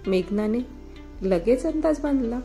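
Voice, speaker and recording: Bright-toned lively woman storyteller, mellowed edge. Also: fairly high pitch, unhurried, faint music behind. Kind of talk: scripted narration of a moral story.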